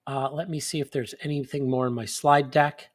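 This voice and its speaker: A man's voice: mid-range voice, fairly even intonation, moderate pace. A man hosting a monthly live stream in casual chat, sniffly, rambling with fillers and restarts.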